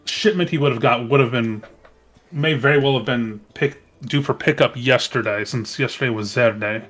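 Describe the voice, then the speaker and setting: A man's voice: mid-range voice, normal speed, fairly bright tone, husky. A man chatting casually as a player in a tabletop game, hesitant and thinking aloud. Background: music.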